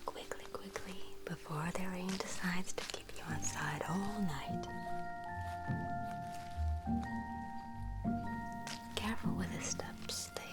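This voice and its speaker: A woman playing a gracious medieval fantasy innkeeper in an ASMR roleplay, speaking in a soft, breathy whisper with gentle, old-fashioned formal phrasing. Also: fairly low pitch, moderate pace, loud music behind the voice, close to an everyday voice. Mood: hushed, welcoming.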